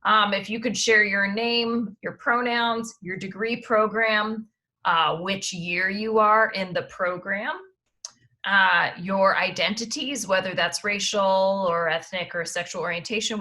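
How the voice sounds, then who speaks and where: A woman, an admissions dean, hosting an online panel and giving clear instructions over a video call. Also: mid-range voice, pushed and bright. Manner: organized, gives instructions, occasional fillers.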